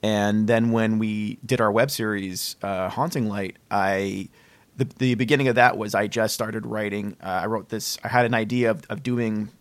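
A man's voice: on the low side, fairly even intonation, normal speed, dry recording. A man co-hosting a podcast interview in a casual, affable and rambling conversational way.

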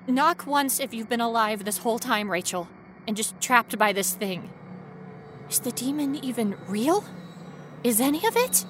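A woman playing a frightened, stubborn young female ghost researcher in a first-person horror audio drama, with an intense, breathy delivery. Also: a little high, noisy background. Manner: intense, breathy, dropping to whispers and rising to cries.

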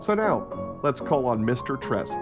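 A man's voice: medium pitch, sing-song, a little slow. A man hosting a radio theatre show, reading a scripted introduction to a drama. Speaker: radio theatre announcer and host. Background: loud music under the voice.

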